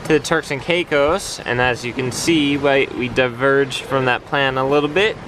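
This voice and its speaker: A man's voice: medium pitch, normal speed. Man narrating from a windy sailboat. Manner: relaxed, explains sailing plans. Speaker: sailing vlogger. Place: outdoors on a sailboat at sea. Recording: wind and water noise. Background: boat and water noise.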